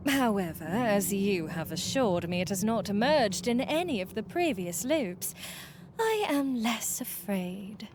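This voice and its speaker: A woman playing an earnest, fearful mortal friend in a fantasy game, with dramatic, breathy phrasing and formal, archaic wording. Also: medium pitch, lively intonation, unhurried, background noise, natural voice. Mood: uneasy, reluctant. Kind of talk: dramatic visual novel voice acting. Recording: music playing behind the voice.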